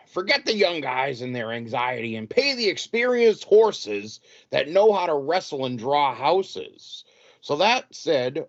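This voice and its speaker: A man's voice: on the high side, muffled recording, exaggerated voice. A man acting in a comedic audio play as a wheedling, easily exasperated city wrestling promoter who stammers.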